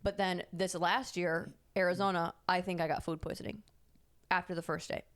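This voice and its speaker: Woman, podcast co-host, casual chat, rambling storyteller, lower voice. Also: level tone, moderate pace, fairly bright tone. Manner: rambling storyteller who corrects herself.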